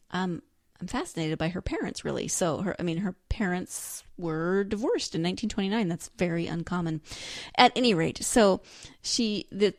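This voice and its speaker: A woman podcast host with a slightly low voice in a very dry-sounding space. She chats casually on her own, rambling and correcting herself as she thinks aloud. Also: darkish tone, dry recording. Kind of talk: casual solo book chat, loosely summarizing notes.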